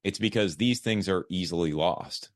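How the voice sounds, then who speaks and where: A man's voice: low-pitched, normal speed, no room sound, clean studio sound. An American man hosting a podcast, chatting casually with his guest. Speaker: podcast host. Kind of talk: casual interview chat.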